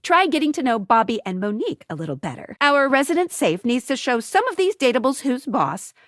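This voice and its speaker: An actress voicing an upbeat, playful female helper in a video game, perky and expressive as she delivers scripted hints to the player. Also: high-pitched, a little fast, no room sound, studio quality. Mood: encouraging. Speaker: game character guide giving hints.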